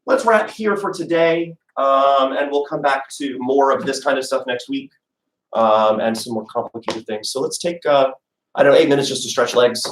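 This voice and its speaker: A man's voice: medium pitch, moderate pace. Casual man hosting a workshop. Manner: casual, many fillers. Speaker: artistic director, music reading teacher. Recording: echoey room, mic far off.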